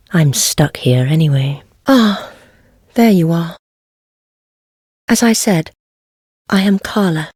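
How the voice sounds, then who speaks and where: An actress playing a wry, self-deprecating heretic sorceress in a dark fantasy game, with a husky, breathy voice and a languid, teasing, formal manner. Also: female voice, on the low side, dry recording, clean studio sound. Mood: wry, softened.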